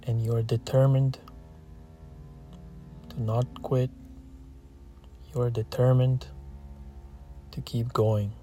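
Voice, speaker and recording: A man guides a meditation in a relaxed, mellow voice at a middle pitch. His delivery is soothing and steady, with barely any rise or fall. Short phrases are set apart by long, unhurried pauses. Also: faint music behind. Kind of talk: slow guided meditation, scripted narration.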